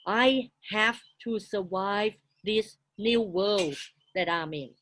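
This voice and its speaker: Slow-talking woman, English her second language. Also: medium pitch, fairly bright tone, slight background noise. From outside Australia. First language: not English. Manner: broken English, halting, many restarts and fillers. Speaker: guest. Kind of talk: casual interview, life story.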